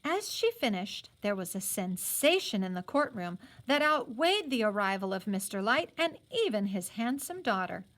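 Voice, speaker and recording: A woman reading a novel aloud as a teacher would, in an expressive storytelling style. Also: mid-range voice, moderate pace, few pauses. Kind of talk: expressive story read-aloud with brief explanatory asides.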